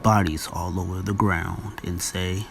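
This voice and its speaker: A man's voice: deep voice, level tone, measured pace, vocal fry, very noisy. A man narrating a scripted story as the narrator of an audio drama. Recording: loud music bed under voice.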